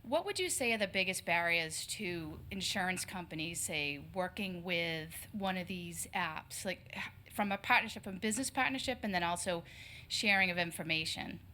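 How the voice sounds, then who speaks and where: A woman in the audience asking a question in a loud voice, without a microphone. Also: on the low side, animated, normal speed, slight background noise. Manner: loud, projecting without a mic.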